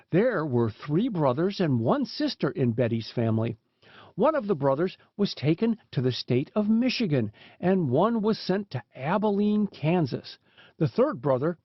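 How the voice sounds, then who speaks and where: Man, high voice, scripted audiobook storytelling, very lively intonation, dry but dull lo-fi recording. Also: breathy edge, dry recording. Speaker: audiobook narrator. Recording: dull, lo-fi sound.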